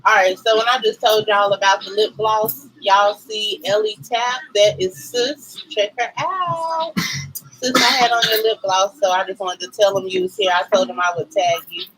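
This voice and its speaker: A woman's voice: mid-range voice, steady tone, music in the background, low-quality recording. Chatty Southern woman on a livestream. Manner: chatty and quick, interjecting. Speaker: co-host of a couples livestream. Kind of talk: casual livestream chat.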